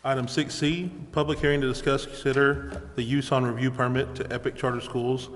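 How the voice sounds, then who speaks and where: A man's voice: medium pitch, flat delivery, fairly quick. Meeting chair, American male, mid-range voice, formal procedural speech in a reverberant chamber. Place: large indoor council chamber. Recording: reverberant hall, faint background noise.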